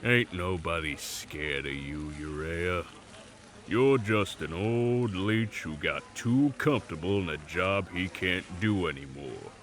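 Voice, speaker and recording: A male actor plays a cocky supernatural brawler in an audio drama. His voice is low and he drawls very slowly, taunting and full of menace. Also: fairly bright tone. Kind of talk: audio roleplay drama acting.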